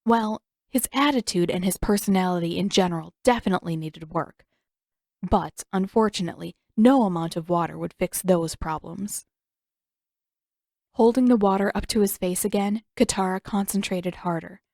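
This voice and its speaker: A woman reading scripted fiction as narrator, with an expressive, wry delivery. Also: medium pitch, moderate pace, creaky, dry recording, studio quality. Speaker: podfic narrator and author reading her own story. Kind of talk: scripted story narration with character voices.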